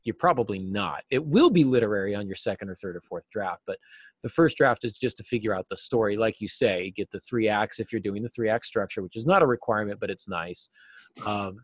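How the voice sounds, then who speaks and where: A man in his forties giving earnest, explanatory answers as an interview guest, stressing key words deliberately. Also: on the low side, breathy edge, no echo. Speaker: writing teacher and novelist, interview guest. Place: small cluttered office, video call.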